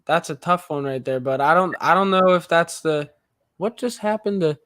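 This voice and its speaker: Young guy cohost rambling about the game, a bit high voice, dry close sound. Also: dry recording. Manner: excitable, rambling, quick asides. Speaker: podcast co-host. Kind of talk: live game reaction chat.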